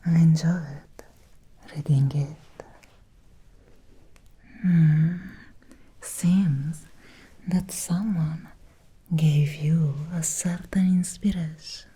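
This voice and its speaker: Woman playing a slow, whispering ancient vampire woman. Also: low-pitched, fairly even intonation, mellow, full character voice. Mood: intimate, obsessed. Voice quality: breathy whisper. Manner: slow, deliberate, lingering pauses. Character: ancient female vampire, possessive, seductive, quietly menacing. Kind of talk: whispered ASMR roleplay.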